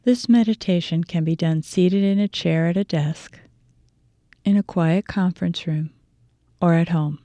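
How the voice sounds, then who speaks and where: A woman leads a guided meditation in a soft-toned, mellow voice that sits slightly low. She phrases her instructions gently, leaving deliberate pauses, and the sound is close and dry. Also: no echo. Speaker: meditation teacher.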